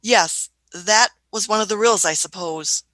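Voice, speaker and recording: A woman's voice: medium pitch, unhurried, a bit bright, dry recording, natural voice. A woman playing an eccentric, authoritative scientist-adventurer in a radio play, fussy and stammering, with a condescending manner. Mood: reassuring.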